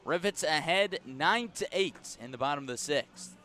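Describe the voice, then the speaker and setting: A man calling live baseball play-by-play as a radio announcer, measured between pitches and lifting with the action. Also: expressive, sharp tone. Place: outdoor ballpark broadcast.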